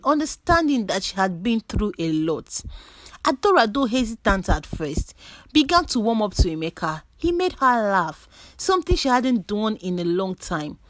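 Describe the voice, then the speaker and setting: A woman's voice: mid-range voice, no room sound. A woman reading a scripted dramatic story as a storyteller.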